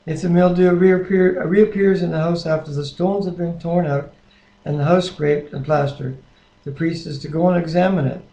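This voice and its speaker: A man reads scripture aloud in a mellow, creaky voice. His delivery is plain and unpolished, occasionally tripping over a word. A webcam microphone picks him up in an echoey room. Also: a little high, steady tone, moderate pace, lo-fi sound. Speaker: Bible reader.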